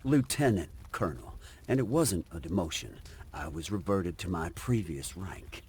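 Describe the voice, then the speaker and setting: Pompous low-voiced American cavalry officer. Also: male voice, big pitch swings, normal speed, noisy background. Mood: haughty, irritated. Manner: pompous, commanding. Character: pompous, haughty, touchy 1870s cavalry officer. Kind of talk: video game cutscene acting.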